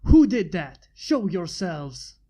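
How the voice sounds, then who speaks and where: A woman's voice: on the low side, very animated, takes it slowly, mellow, voice pushed down, exaggerated voice. A woman reading as a smug, sarcastic inventor. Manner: sarcastic, boastful. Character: smug arrogant human man, inventor.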